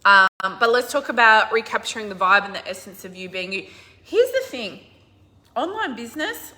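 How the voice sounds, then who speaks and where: A middle-aged woman business coach with a hoarse, husky, croaky voice, talking casually and emphatically to a live audience. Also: on the high side, sing-song, moderate pace. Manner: enthusiastic, emphatic, swings from loud to quiet. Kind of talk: casual livestream talk.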